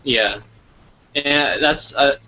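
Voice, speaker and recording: A man hosting a casual question-and-answer interview, with halting, hesitant phrasing. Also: medium pitch, bright, forceful tone. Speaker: interviewer, host. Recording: lo-fi online call with background noise.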